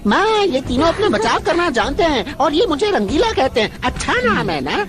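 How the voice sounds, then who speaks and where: Excitable, swooping high-pitched childlike young dinosaur. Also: female voice, moderate pace, music in the background. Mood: cheerful, pleading. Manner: repeats words eagerly, playful. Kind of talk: Hindi cartoon dub.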